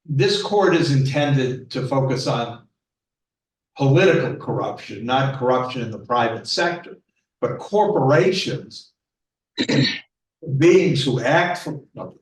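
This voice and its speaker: A man speaking as a judge on a panel, measured and careful, correcting himself as he explains. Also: mid-range voice, animated. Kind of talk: panel discussion answer. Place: law school lecture room. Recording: echoey room, far from the mic.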